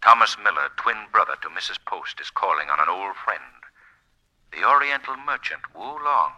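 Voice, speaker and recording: A man's voice: low voice, animated, bright, forceful tone, exaggerated voice, low-quality recording. A man acting a sinister, all-knowing narrator in a 1940s radio thriller, theatrical and ominous, drawing out his words. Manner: ominous, theatrical, drawn-out delivery. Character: sinister, omniscient male narrator relishing crime and doom. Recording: old 1940s radio broadcast.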